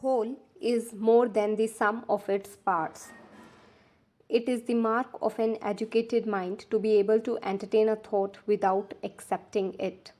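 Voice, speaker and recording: A woman presenting scripted educational narration, reading quotations aloud in a measured, deliberate manner for revision. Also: mid-range voice, steady tone, mellow.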